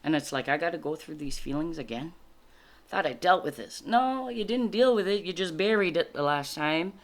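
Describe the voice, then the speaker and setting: A woman whose first language is French gives informal spiritual guidance as a card reader, reflective and halting, explaining things in short phrases. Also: deep voice, animated. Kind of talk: informal spiritual guidance, reading cards aloud and commenting.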